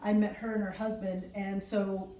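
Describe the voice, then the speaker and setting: A woman's voice: medium pitch, little pitch movement, normal speed, mellow. Bubbly woman presenting in an echoey room. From United States. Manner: bubbly and enthusiastic, laughing often. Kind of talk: speaking to a live group. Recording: roomy, distant mic, some noise.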